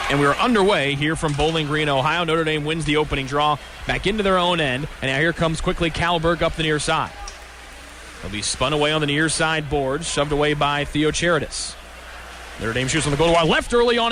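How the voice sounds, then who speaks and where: Higher-voiced man calling live hockey play-by-play. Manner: clipped, descriptive phrasing in short fragments. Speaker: hockey radio play-by-play announcer. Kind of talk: live sports commentary. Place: hockey arena during a live game.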